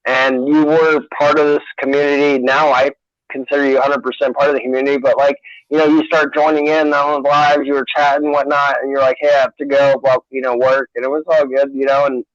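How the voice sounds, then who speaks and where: Co-host, 30s man, excitable and loose-mouthed; dull, dry lo-fi audio. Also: medium pitch, a little fast, dry recording. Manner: excitable, casual swearing. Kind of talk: casual livestream chat.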